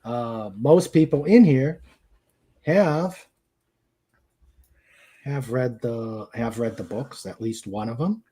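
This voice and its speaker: A man's voice: mid-range voice, takes it slowly, pauses often, dark tone. A man hosting a casual live chat, reading out viewer comments in a hesitant, rambling way and repeating his phrases. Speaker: show host. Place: at home in a room with a couch.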